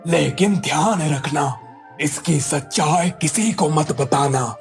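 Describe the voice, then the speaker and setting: A man's voice: on the high side, takes it slowly, creaky, soft background music. A man playing a kindly, serene old wandering sage in a cartoon moral story, solemn and full of blessings. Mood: grave, mysterious.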